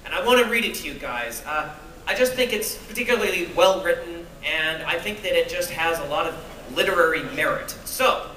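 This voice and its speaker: A man's voice: on the high side, lively intonation, fairly quick, sharp tone. A jet-lagged man speaking to a live audience, playful and self-mocking as he talks and reads aloud. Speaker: author reading at a fan event. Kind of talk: live talk and reading to an audience. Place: convention hall with an audience. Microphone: phone or camera recording from the audience. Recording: echoey hall, voice from far off, noisy.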